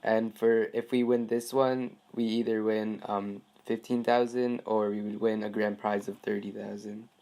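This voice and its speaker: A man's voice: lowish voice, little pitch movement, unhurried, few pauses, mellow. A teenage male student conducting an informal phone interview, polite and a little hesitant. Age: teens. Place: phone call.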